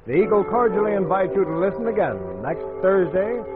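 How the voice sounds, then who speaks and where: A man's voice: high-pitched, fairly quick. A man announcing a 1940s radio drama in a formal, dramatic style. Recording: tinny old radio broadcast with music.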